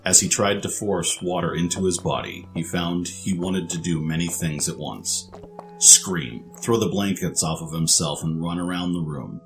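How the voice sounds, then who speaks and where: A man's voice: low-pitched, normal speed, creaky. A man narrating a scripted fantasy story over music.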